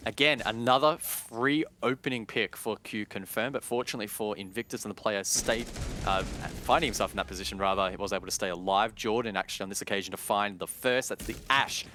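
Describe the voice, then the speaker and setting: A man doing live play-by-play commentary on an esports match, analytical and excitable on key plays. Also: fairly low pitch, fast, a little hiss or hum.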